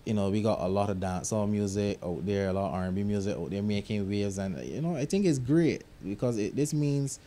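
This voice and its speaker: A man's voice: on the low side, fairly quick, hardly any pauses. A young Barbadian man, a music producer, talking casually and reflectively about his work in an interview. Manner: relaxed, reflective, drops into local grammar. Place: recording studio.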